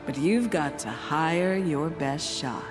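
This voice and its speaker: An actress playing a wistful, playful fortune-telling mystic in a video game, with a mysterious, portentous delivery that slips into chatty asides. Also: female voice, low voice, animated, takes it slowly, over music. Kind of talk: theatrical scripted game narration.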